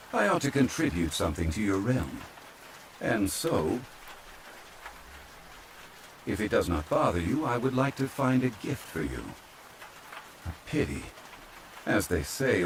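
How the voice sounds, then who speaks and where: Guy doing a deep calm ancient god gentleman from a fantasy game, super polite n formal, male asmr, rain in the background. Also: a little hiss or hum, heavily acted, low-quality recording. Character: calm, courtly ancient male former god, old-fashioned and unhurried. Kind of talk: soft ASMR roleplay, fantasy game character. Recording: steady rain sound over the voice. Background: rain falling.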